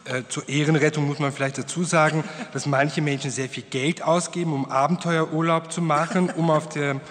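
A man's voice: fairly high pitch, fairly even intonation, fairly quick, a bit bright. A middle-aged man moderating a panel discussion, reflective, tagging sentences with questions, at a table microphone. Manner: reflective, frequent tag questions. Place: wood-panelled hall, seated at panel table. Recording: long hall reverb.

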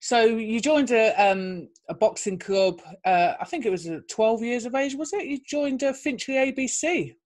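A woman's voice: fairly high pitch, animated, normal speed, few pauses. A middle-aged woman, interviewing casually from a bedroom on a video call. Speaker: interviewer, host. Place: indoors, bedroom at home.

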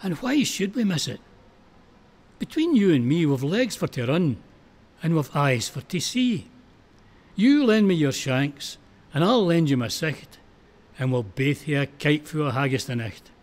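A man's voice: a little high, big pitch swings, a little slow, pauses often, a little mellow. A man reciting dramatic verse, voicing a plucky wounded Scottish soldier of the First World War, dogged and exclamatory. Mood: resolute.

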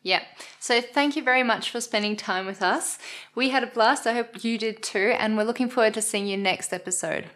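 A woman's voice: fairly high pitch, a little fast, few pauses, a bit bright. A young woman co-hosting a knitting show from a living-room sofa, enthusiastic and playful in casual chat. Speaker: co-host.

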